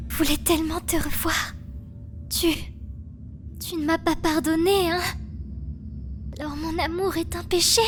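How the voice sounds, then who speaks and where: A woman voicing a gentle, fragile, lovesick prisoner in her twenties, sugary and airy, in a French anime fan dub. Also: extremely high pitch. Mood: wounded, lovesick. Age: 20s.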